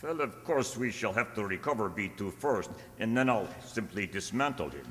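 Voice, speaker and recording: A man's voice: fairly low pitch, lively intonation, normal speed, fairly bright tone. An actor playing a flustered, polite inventor and salesman of robots in a radio play, with a theatrical manner. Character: flustered, obliging male inventor and seller of marionette robots. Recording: noisy echoey hall, stage radio play.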